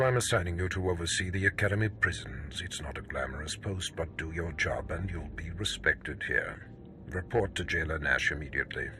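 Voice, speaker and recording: An actor playing a stern, scheming Sith lord in a video game, speaking in a measured, imperious, menacing manner. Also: male voice, low-pitched, sharp tone, a little hiss or hum, full character voice. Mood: coolly commanding. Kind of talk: scripted video game drama.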